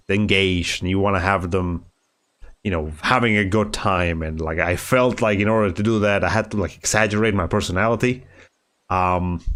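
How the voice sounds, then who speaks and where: A man with a lowish voice talks in a calm, reflective monologue. He sounds slightly nasal from a cold and speaks softly, pausing often with fillers and restarts. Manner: soft-spoken, halting, many fillers and restarts. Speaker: streamer.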